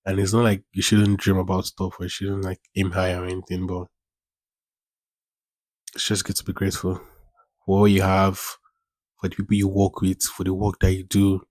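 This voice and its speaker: A man's voice: low voice, completely flat delivery, normal speed, pauses often, a little mellow, no echo, rough recording. A man in his twenties hosting a casual solo podcast, rambling, repeating phrases and using informal slang. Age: 20s. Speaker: podcast host.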